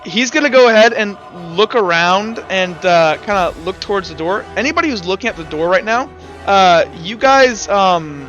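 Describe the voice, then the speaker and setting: A man narrating a tabletop roleplaying game as game master, in halting, improvised, stop-start phrases. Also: high-pitched, expressive, a bit bright, highs cut off, soft background music.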